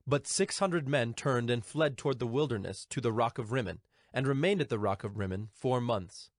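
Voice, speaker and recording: A man's voice: mid-range voice, no room sound, studio quality. A man giving a scripted narration of a dramatized scripture audio production. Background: background music.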